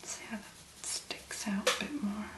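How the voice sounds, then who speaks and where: A woman speaking very softly and breathily, in a gentle, unhurried ASMR make-up tutorial style. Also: medium pitch, echoey room. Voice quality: breathy, whispery. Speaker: ASMR video creator.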